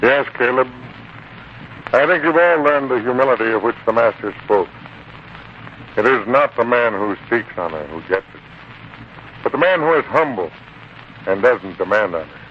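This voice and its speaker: An actor playing a calm, wise biblical disciple, measured and instructive, in a 1940s radio drama. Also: male voice, medium pitch, natural voice. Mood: calm, reverent. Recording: muffled, noisy 1940s radio broadcast.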